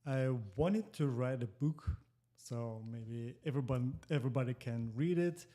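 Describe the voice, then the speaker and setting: Slow, mellow Moldovan man. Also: mid-range voice. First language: Russian. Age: 40s. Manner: slow, careful phrasing with pauses. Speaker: guest. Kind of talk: casual interview.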